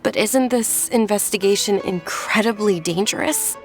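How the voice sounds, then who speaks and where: An actress playing a gentle, refined young noblewoman in a video game, speaking politely and with earnest care. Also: medium pitch, moderate pace, lightly acted. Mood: worried. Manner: polite, soft, sympathetic. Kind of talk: video game character acting. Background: faint game music.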